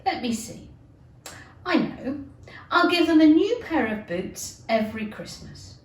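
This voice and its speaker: A woman's voice: high-pitched, animated, a little slow, pitched above the performer's own voice. A woman voicing a curious, fretful young girl in a storybook, wondering and exclaiming earnestly. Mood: fretful, fanciful. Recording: roomy, distant microphone.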